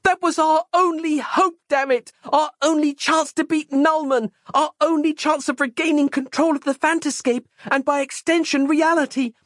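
A man's voice: very high-pitched, fairly even intonation, moderate pace, hardly any pauses, fairly bright tone, no room sound, studio quality, pitched above the performer's own voice. A man voicing a desperate, overwrought woman in a melodramatic horror audiobook. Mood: furious despair.

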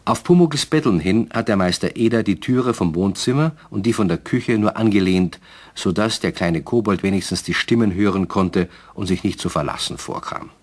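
Low-voiced male storyteller. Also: moderate pace. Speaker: narrator. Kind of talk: scripted storytelling narration for a radio play.

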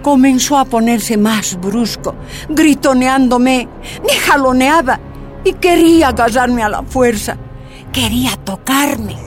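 Female ex-prisoner, slow emphatic testimony, slightly high anguished voice, radio drama narration, faint music. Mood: fearful. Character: tortured miners' activist woman recounting her imprisonment. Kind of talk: dramatised first-person narration.

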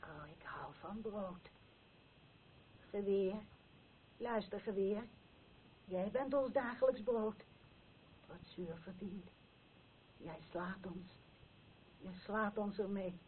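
Older obsessive mother, played by an actress: slow, plaintive, incantatory radio drama delivery, tinny hissy recording. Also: medium pitch, natural voice. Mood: obsessive, chanting. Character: obsessive mother, insistently and plaintively craving bread. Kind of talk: radio play acting.

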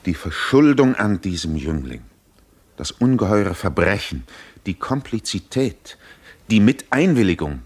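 Very deep, solemn physician. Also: male voice, big pitch swings, slightly rough, heavily acted. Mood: accusing. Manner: grave, oratorical, measured phrases. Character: solemn, penetrating physician who reads faces. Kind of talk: theatrical radio drama acting.

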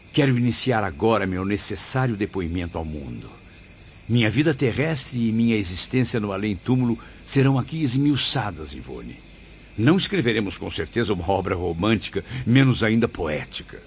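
An actor playing the sorrowful spirit of a cultured 65-year-old writer in a radio drama, speaking with solemn, formal, literary diction. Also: male voice, lowish voice, sing-song, brisk, lo-fi sound, a little hiss or hum. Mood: courteous, formal. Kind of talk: dramatic radio play monologue.

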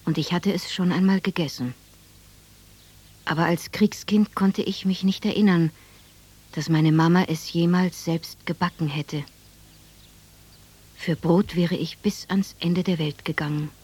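An actress playing an adult narrator who recalls her village childhood in a reflective, measured, husky voice in a radio drama. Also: on the low side, fairly even intonation, darkish tone.

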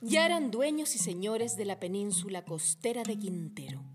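A woman's voice: medium pitch, normal speed, faint music behind. A woman narrating a legend for a radio play in a theatrical, storytelling manner. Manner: expressive, theatrical.

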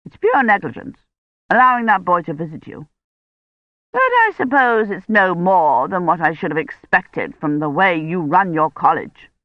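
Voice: mid-range voice, sing-song, normal speed, dry recording, lo-fi sound, close to an everyday voice. A narrator voicing a haughty, self-righteous older woman who scolds in a pompous, indignant way.

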